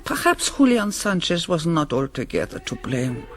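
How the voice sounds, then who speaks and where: A woman playing a kindly, dignified older Mother Superior in a radio drama, measured and gently authoritative, with dry wit. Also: low-pitched, big pitch swings. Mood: solemn, kindly.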